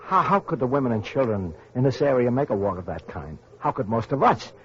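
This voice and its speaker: An actor playing a weary, earnest survivor of atomic war, narrating haltingly in an old-time radio drama style. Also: male voice, medium pitch, big pitch swings, natural voice. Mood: anxious pleading. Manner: halting, hesitant, repeating words. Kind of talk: 1940s-50s radio drama acting and narration. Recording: dull old radio recording, music behind.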